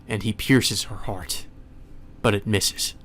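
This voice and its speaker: A man's voice: lowish voice, fairly even intonation, takes it slowly, a bit bright, slight background noise. A man reading a visual novel aloud as solemn, dramatic scripted narration. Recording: music behind the voice.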